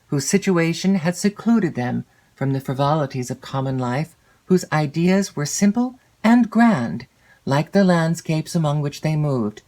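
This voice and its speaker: A woman reading classic literary fiction as an audiobook narrator, in a measured, formal way with expressive phrasing. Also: sits low. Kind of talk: scripted literary narration of a classic gothic novel.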